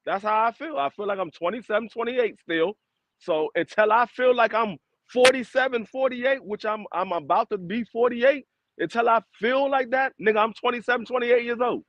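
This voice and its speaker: High-voiced joking man in his forties. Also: moderate pace, a bit bright, muffled recording. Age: 40s. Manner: joking, emphatic, laughs a lot. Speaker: podcast co-host. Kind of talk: casual chat.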